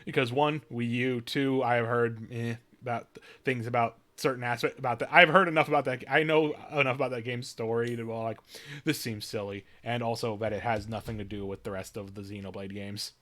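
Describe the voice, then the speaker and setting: A man's voice: medium pitch, fairly even intonation, a little fast, sharp tone. A man giving casual live stream game commentary, rambling and often rephrasing mid-thought, with game music behind him.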